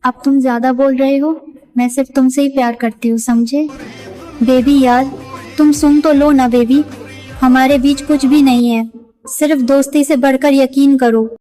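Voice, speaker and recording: A woman's voice: high-pitched, fairly even intonation, normal speed, mellow, natural voice, poor audio. A young woman playing a defensive, exasperated girlfriend in a dramatized breakup talk. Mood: pleading, annoyed. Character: defensive, irritated young girlfriend insisting on her love. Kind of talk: dramatized breakup phone conversation in Hindi. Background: background music.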